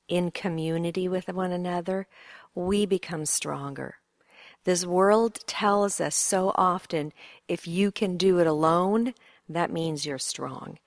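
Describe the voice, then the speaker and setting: Middle-aged woman from sweden, pastor's wife, lowish voice, encouraging and heartfelt, dry close sound. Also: no room sound. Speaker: pastor's wife leading a women's session. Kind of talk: encouraging talk and prayer. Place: church conference hall.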